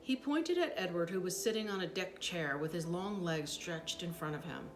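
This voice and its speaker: A woman reading a children's book aloud as an expressive storyteller, with dramatic pauses and brief asides. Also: on the low side, background noise. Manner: animated, dramatic pauses, acts out dialogue.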